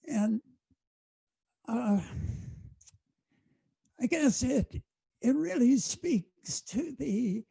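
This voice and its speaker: An older man with a hoarse voice giving a folksy, rambling acceptance speech over a video call, halting often on fillers. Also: very high voice, lively intonation, warm tone, dry recording, poor audio. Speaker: award recipient, fire-fighting landowner.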